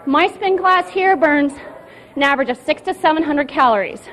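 A woman's voice: high-pitched, normal speed, fairly bright tone, a faint crowd. A woman fitness instructor answering questions enthusiastically in a live TV interview at an indoor gym, talking into a reporter's handheld mic. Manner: enthusiastic, promotional.

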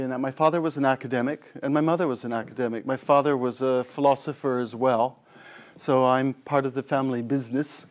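A middle-aged man from Scotland giving an informal talk to a room of colleagues. He rambles in a friendly, self-deprecating way, with frequent ums and restarts, and he is recorded close on a lapel mic in a lecture room. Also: medium pitch.

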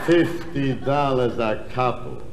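Gruff stingy husband, slow delivery, swooping pitch, 1960s comedy record, reverberant hall. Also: male voice, sing-song, crowd noise, background noise. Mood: indignant, boastful. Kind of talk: 1960s comedy album sketch acting.

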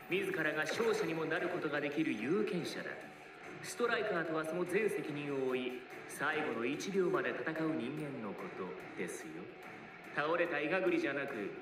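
A cold, ruthless anime coach, lectures with deliberate contempt. The voice is roomy and sits under loud music. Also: male voice, a little high, far from the mic. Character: cold, ruthless male soccer program coach. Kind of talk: dubbed anime drama acting.

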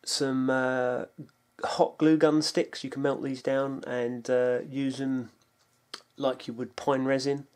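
A British man giving a casual, unscripted rundown of his kit in a low-key, halting way, as if thinking aloud. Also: flat delivery, darkish tone, clean tone. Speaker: bushcraft hobbyist presenting his kit. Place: indoors on a wooden floor at home. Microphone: camera mic, a short distance away. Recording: noisy home video, clattering gear. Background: clicks and clatter of handled gear.

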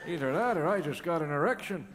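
Grumpy crude old man, voiced by a man, forgetful, sudden shouts, high voice, very lively pitch, echoey hall, loud crowd laughter. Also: fast, heavy background noise, close to an everyday voice. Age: older. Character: cranky, crude old man in a care home.

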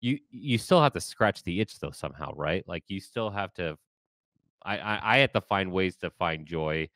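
Deep-voiced man hosting a podcast. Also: normal speed, no room sound, studio quality. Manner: relaxed, thinks aloud with fillers. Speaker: podcast host. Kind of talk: conversational interview. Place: home office with shelves. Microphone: studio mic on boom arm. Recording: video call.

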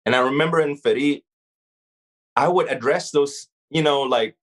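A man's voice: on the high side, moderate pace, fairly bright tone. A man with a mixed Arabic-influenced English accent, a rapper as podcast guest, speaking thoughtfully with frequent restarts and fillers. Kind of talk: casual interview chat.

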